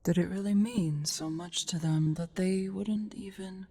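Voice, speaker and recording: A woman's voice: mid-range voice, steady tone, measured pace, dark tone. A woman voicing a haughty female dragon brooding over her hoard in an ASMR roleplay, half-whispering in an imperious mutter. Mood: disdainful, brooding. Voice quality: breathy, half-whispered, creaky.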